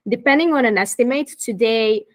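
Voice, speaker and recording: A woman's voice: on the high side, fairly quick. A woman in her thirties from Croatia, a fashion activist talking as a guest in a thoughtful, articulate way. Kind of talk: interview conversation.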